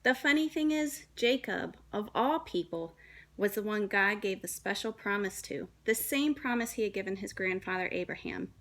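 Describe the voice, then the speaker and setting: A woman, a young adult, reading a children's story aloud in a dramatic, deliberate way with shifts between emphasis and hush. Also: medium pitch, expressive. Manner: dramatic, deliberate phrasing with hushed and emphatic moments.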